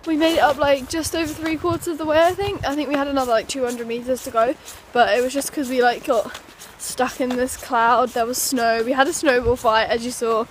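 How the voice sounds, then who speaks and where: A woman's voice: high voice, fairly even intonation, fairly quick, few pauses. Chatty young woman vlogging on a phone. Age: young adult. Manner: chatty, upbeat, talks to camera while hiking. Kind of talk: casual vlog chat. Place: outdoors on a windy hillside and indoors. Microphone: phone held at arm's length. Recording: noisy phone recording.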